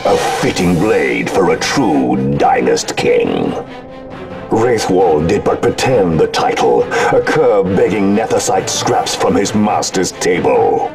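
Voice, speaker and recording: An actor playing a grandiose, fanatical imperial villain in a video game, declaiming theatrically. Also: male voice, deep voice, very animated, slow, gravelly, over music, exaggerated voice. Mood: scornful, zealous. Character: grandiose fanatical male armoured imperial villain, fierce and zealous. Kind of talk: video game cutscene acting.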